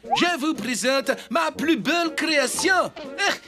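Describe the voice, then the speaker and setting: A man's voice: very high voice, lively intonation, takes it slowly, full character voice. A man voicing an arrogant, deranged boy genius in a cartoon, making pompous, menacing declarations. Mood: boastful. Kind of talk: French-dubbed children's cartoon acting. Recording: music playing behind the voice.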